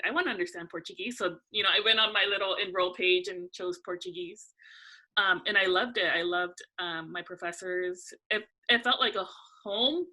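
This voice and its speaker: A young woman from California, a guest talking casually and thoughtfully in an interview, with frequent pauses. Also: fairly low pitch, pushed and bright, low-quality recording.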